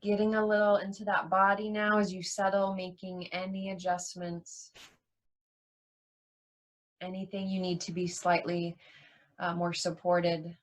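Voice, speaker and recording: A woman leading a guided yoga nidra meditation as a teacher, soothing and unhurried, leaving long pauses between short phrases. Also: on the low side, monotone, low-quality recording.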